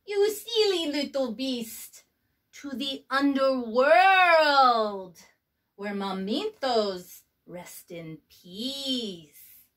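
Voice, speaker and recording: An earnest pack of little chihuahua dogs chanting together in a storybook read-aloud, done by a woman. The voice is high and bright, with a lively lilt. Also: extremely slow, heavily acted.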